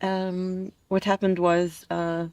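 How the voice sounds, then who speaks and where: A woman's voice: on the low side, flat delivery, takes it slowly, a little mellow, clear, resonant tone, dry recording. Young woman, casual guest, dry close sound. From American who grew up in Dubai. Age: 20s. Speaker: interview guest, master's student. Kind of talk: casual conversational interview answers.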